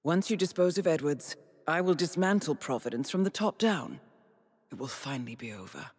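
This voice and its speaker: An actress playing a composed female handler in a video game, calm and measured. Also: low-pitched, breathy edge. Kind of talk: video game cutscene acting. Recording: long reverb over game score.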